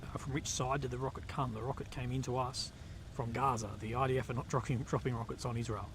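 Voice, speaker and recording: Man, live-stream host, mid-pitched voice, blunt and casual, noisy outdoor feed with traffic behind. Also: fairly even intonation, quick pace. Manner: blunt and swearing, many fillers and restarts. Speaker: livestream host reading chat questions. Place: outdoors in a conflict zone.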